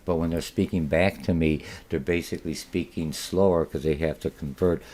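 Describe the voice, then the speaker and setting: A man's voice: extremely low pitch, fairly even intonation, normal speed, a little mellow. A man with a Polish accent, whose first language is Polish, giving a recorded college marketing lecture, rambling with many fillers and restarts.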